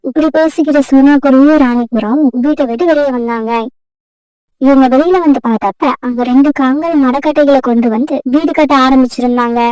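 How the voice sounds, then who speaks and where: A woman reads a scripted story for children. Her voice is high yet mellow, and the sound is dry and free of room echo. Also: a little fast, distant mic.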